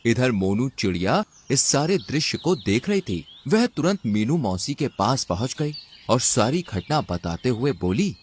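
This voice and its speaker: A man's voice: mid-range voice, big pitch swings, a little slow, a little hiss or hum. A man narrating a scripted children's story as the storyteller.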